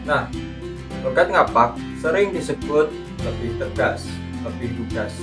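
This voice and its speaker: A man hosting a podcast, narrating a script in a chatty way that speaks straight to his listeners. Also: medium pitch. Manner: chatty, addresses listeners directly. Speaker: podcast host. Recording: distant mic with music underneath. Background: guitar music.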